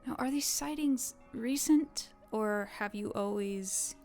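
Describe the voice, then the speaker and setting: A curious, skeptical young woman reporter, played by a woman in an audio drama, with a somewhat high voice, stammering when flustered and wry when she probes. Faint music sits under her lines. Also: slow, darkish tone. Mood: probing. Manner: stammering at first, then probing and wry. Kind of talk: audio drama table read.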